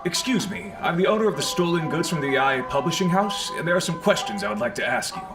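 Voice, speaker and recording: A man's voice: on the high side, lively intonation, fast talker, over loud music, lightly acted. A man voicing a polite, formal and concerned business owner in an anime video game, asking questions. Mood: polite, inquiring. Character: polite, concerned male publishing-house owner.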